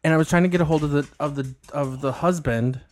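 A man's voice: on the high side, quick pace. A young man chatting casually as a podcast guest, laughing often and swearing offhandedly. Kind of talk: casual chat.

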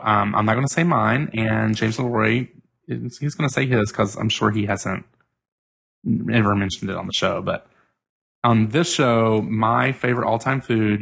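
A man's voice: fairly low pitch, vocal fry, a bit bright, slightly rough. A man co-hosting a podcast in casual, joking chat, laughing often and steering the segment. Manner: laughs often, bossy banter. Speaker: podcast co-host.

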